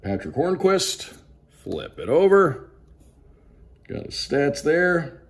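An American man hosting a casual unboxing as a sports broadcaster, announcing names crisply like a play-by-play caller. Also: fairly high pitch, sing-song, slow. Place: indoors at a tabletop, small room.

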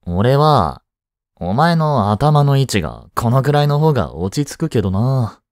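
Teen schoolboy boyfriend, played by a young man: teasing yet tender, unhurried, lively intonation, intimate voice drama. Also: mid-range voice, no echo, clean studio sound. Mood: light teasing. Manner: casual, soft, hesitant pauses. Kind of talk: Japanese boyfriend voice drama, intimate one-sided dialogue.

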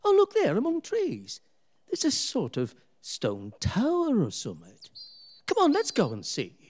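A man's voice: very high-pitched, huge pitch swings, unhurried, a little mellow, full character voice. A man playing a jovial, teasing husband in a radio play, given to exclamations and sometimes impatient. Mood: eager.

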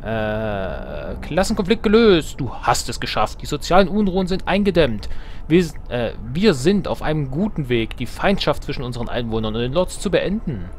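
A man's voice: mid-range voice, big pitch swings, normal speed, slight background noise. A man hosting a let's play, commenting casually on the game with self-ironic, playful asides and reading game text aloud. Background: game music.

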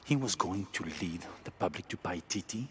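An actor playing a middle-aged, ruthless zealot in a video game cutscene, raspy, intense and self-justifying. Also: male voice, sits low, expressive, moderate pace, background noise. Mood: grave, bitter. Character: middle-aged ruthless zealot villain defending his hidden homeland.

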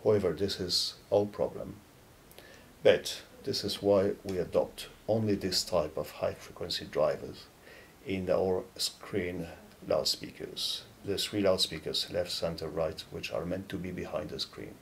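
Low, gravelly middle-aged man. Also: measured pace. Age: 50s. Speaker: loudspeaker company presenter. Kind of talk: explanatory product talk to camera. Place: dark indoor studio or demo room, seated. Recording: low rumbling background noise.

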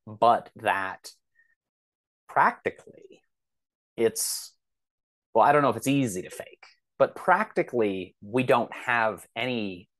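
A man speaking as a podcast guest, casual and thoughtful, exploring ideas tentatively and often rephrasing. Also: lowish voice. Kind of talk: casual, thoughtful interview talk.

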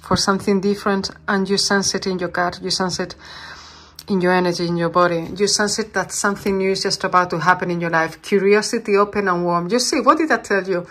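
A woman reads tarot cards at a table indoors, speaking off-camera. She talks warmly and reassuringly, in a mid-range voice, and pauses to search for English words. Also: mid-range voice, fairly even intonation. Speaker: tarot reader. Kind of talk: channeled tarot reading.

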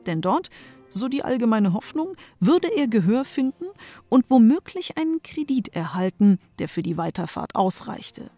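A woman's voice: on the high side, sing-song, normal speed, mellow, no room sound. A woman narrator reading scripted storybook narration. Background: music.

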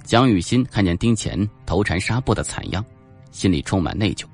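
A man's voice: deep voice, expressive, normal speed, soft background music. A man giving a scripted narration of a suspense novel as an audiobook narrator.

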